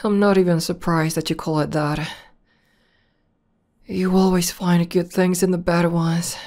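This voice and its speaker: A woman playing a tough tomboy demon girlfriend, shy, tender and devoted, in an intimate ASMR roleplay with a soft, hesitant delivery. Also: on the low side, natural voice. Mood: tender, vulnerable. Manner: soft, hesitant, bashful, breathy dips into whisper.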